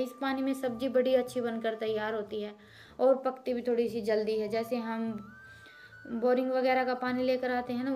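A woman's voice: fairly high pitch, a little mellow. A woman in her thirties from Haryana, giving a homely, chatty voice-over as a village cooking vlogger, explaining each step to her viewers. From Haryana, India.

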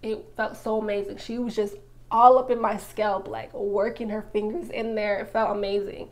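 A woman's voice: medium pitch, level tone, normal speed. Chatty young woman vlogger. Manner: chatty, lots of filler "like". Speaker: hair vlogger. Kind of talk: casual chatty voice-over.

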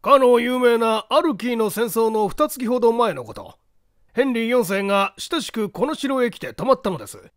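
Man playing a high-voiced, courteous castle owner. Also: expressive, dry recording, clean studio sound. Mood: courteous, explanatory. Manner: formal, measured storytelling. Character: courteous male castle owner, explaining old secrets.

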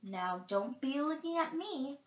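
Woman as a sputtering, high-pitched porcupine. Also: animated, unhurried. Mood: flustered, reluctant. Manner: sputtering, stuttering protest. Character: grumpy, reluctant porcupine busy chewing. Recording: roomy, echoing space.

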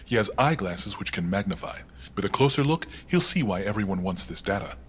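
A man's voice: lowish voice, lively intonation, fairly quick, husky. A man playing a composed, wry fixer to a crime boss in a video game, speaking in terse, formal phrases. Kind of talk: cinematic video game acting.

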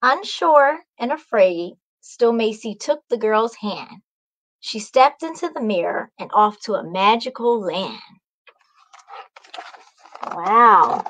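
Female children's author, thirties, slow measured storybook delivery, voice a bit high. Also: animated, fairly bright tone, rough recording. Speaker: children's author, guest reader. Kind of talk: storybook read-aloud. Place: small indoor room, video call.

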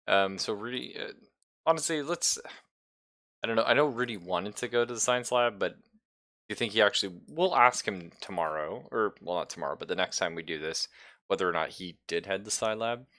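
Man, low bright voice, game master, casual joking talk, online tabletop session. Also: expressive, brisk.

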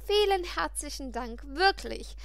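A woman's voice: high voice, lively intonation, a little slow, creaky, no echo, full character voice. A woman voicing a polite, flustered teenage girl in an audiobook, earnest and emotive. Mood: polite, hesitant. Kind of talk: audiobook character voice.

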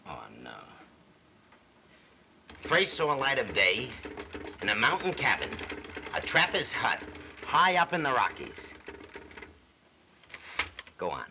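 A man's voice: medium pitch, huge pitch swings, slow, pauses often, exaggerated voice. A man playing a brash, cynical press agent in an old film comedy, dictating theatrically in clipped phrases. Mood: brisk, cynical. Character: brash cynical studio press agent inventing florid biographies. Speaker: actor. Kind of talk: 1930s film comedy acting. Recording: hissy old film soundtrack.

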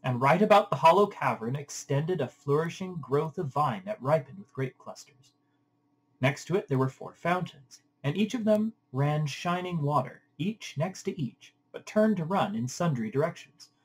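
A man reading an epic poem aloud as an audiobook narrator, in an expressive literary style. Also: fairly high pitch, moderate pace, slightly rough, low-quality recording. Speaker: audiobook reader.

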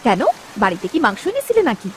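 A woman playing a nagging, sharp-tongued poor village wife and mother in a cartoon story, scolding and sarcastic. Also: a little high, very animated, fairly quick, a little hiss or hum. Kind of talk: dramatic cartoon story dub.

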